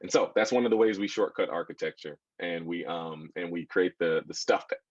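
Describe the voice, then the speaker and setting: Low-voiced American man, echoey dull recording. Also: brisk. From United States. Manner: conversational, addresses listeners with questions. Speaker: children's book author giving a talk. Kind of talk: informal audience presentation with slides. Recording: dull, echoey room sound.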